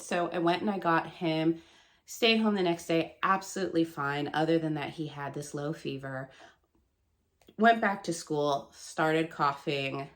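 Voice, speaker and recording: A woman in her thirties chatting casually to camera as a book vlogger, her voice still gravelly and nasal from a cold, rambling and laughing often. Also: low voice. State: hoarse and stuffy from a cold.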